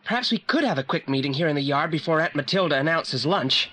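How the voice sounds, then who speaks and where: A man's voice: a little high, lively intonation, fast, sharp tone. Pompous teenage boy detective. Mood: self-assured. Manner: formal, pedantic, wordy. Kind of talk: 1980s audio drama acting. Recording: slightly noisy old audio play transfer.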